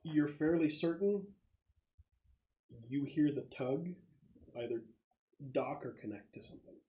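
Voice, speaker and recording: A man acting as game master, narrating a tabletop roleplaying game in a halting, conversational way. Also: fairly high pitch, expressive, highs cut off.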